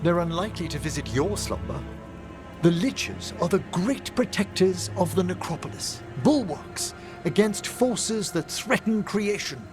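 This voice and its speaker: An aging scholar of necromancy in a fantasy game. His voice sits a little high for a man and moves widely in pitch. He speaks formally and gently, with a wistful, learned air, over a musical score. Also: expressive, music in the background. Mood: solemn pride. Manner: formal, eloquent, measured phrasing. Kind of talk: video game dialogue acting.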